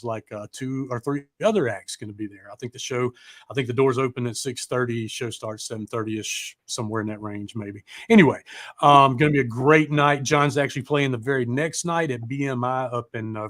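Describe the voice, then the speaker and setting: A man's voice: mid-range voice, fairly even intonation, moderate pace, a bit bright, dry recording, clean studio sound. A man hosting a live show in casual, rambling chat, mildly profane and often losing his train of thought.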